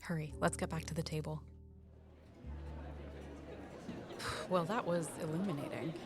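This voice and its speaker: A woman's voice: deep voice, fairly even intonation, brisk, music in the background, lightly acted. A woman acting in an audio drama as a shrewd, suspicious colleague who explains things in a dry, matter-of-fact way. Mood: dry, hushed urgency. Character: shrewd, knowing female colleague, quietly suspicious investigator.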